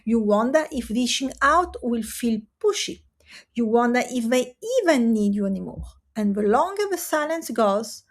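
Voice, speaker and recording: Woman, fairly high voice, earnest, emphatic, direct-to-camera coaching talk. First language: Italian and French. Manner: earnest, emphatic, with rhetorical pauses.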